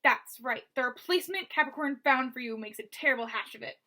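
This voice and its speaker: A woman voicing a menacing male henchman with a rasping, taunting voice. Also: sits high, a little fast, bright, forceful tone. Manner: sneering, taunting.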